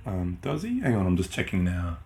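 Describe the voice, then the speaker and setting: A middle-aged man from Austria, German his first language, co-hosts a relaxed podcast. His voice sits on the low side, and he wanders through his stories with plenty of ums and self-corrections. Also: fairly quick, vocal fry. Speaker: podcast co-host. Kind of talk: casual chat.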